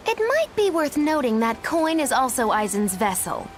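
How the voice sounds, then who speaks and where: High, lilting, mischievous witch. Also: sing-song, normal speed. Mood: knowing. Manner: sly, mocking. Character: mischievous, teasing witch, flippant and theatrically knowing. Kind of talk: video game voice acting. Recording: game music under the voice.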